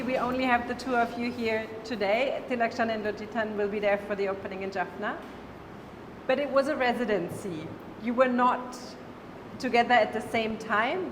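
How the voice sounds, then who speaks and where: Woman moderator in an echoey, noisy hall. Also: fairly high pitch. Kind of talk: unscripted panel moderation. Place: large reverberant indoor event space. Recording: echoey hall, clear background noise.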